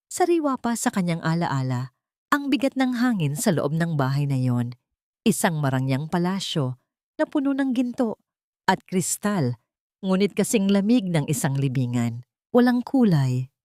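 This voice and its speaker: A woman narrating a scripted dramatic story in a measured, emotive storytelling manner. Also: deep voice, a little mellow, no room sound, clean studio sound.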